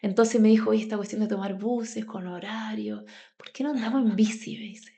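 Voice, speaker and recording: A woman's voice: medium pitch, steady tone, brisk, creaky, dark tone. A Chilean woman talking conversationally as a podcast guest, telling personal stories in a colloquial way full of asides. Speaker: podcast guest, grounding advocate.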